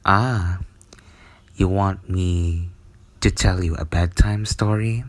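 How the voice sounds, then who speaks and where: Slow, low-voiced man doing bedtime ASMR. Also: steady tone, no echo. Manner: soft, intimate, soothing delivery, partly whispered. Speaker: ASMR storyteller. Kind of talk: ASMR bedtime roleplay and storybook reading.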